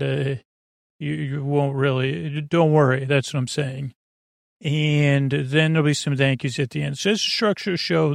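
A man hosting a sleep podcast in a rambling, halting, self-deprecating bedtime monologue full of tangents, with a creaky voice close to the mic. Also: no echo. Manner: self-deprecating, low-key.

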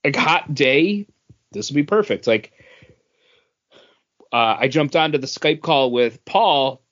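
A man's voice: medium pitch, lively intonation, slow, a bit bright. A man talking informally and slangily as a co-host on a casual podcast recorded over an internet call. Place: remote voice call from home. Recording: dull lo-fi internet call audio.

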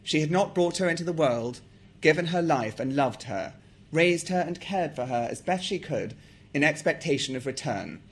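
A man's voice: on the high side, expressive, normal speed, slightly rough. A man with an English accent, from South Africa, talking about his novel and reading from it aloud. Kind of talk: author talk and reading aloud.

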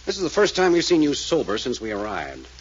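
Quick, blunt radio detective. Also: male voice, medium pitch, wildly swooping pitch. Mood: pressing, accusing. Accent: American. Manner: clipped, relentless questioning. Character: tough, blunt male detective questioning a suspect. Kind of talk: 1940s radio acting. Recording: muffled, noisy old radio recording.

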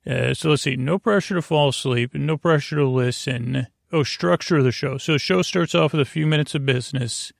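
A man with a creaky voice hosting a sleep podcast, rambling in a lulling, meandering, halting way. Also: a little high, no echo, clean studio sound. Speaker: podcast host.

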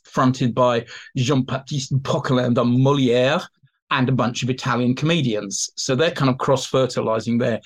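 A man with a medium-pitched voice talks as an expert guest in a history interview. He explains conversationally, telling the past as informal anecdote.

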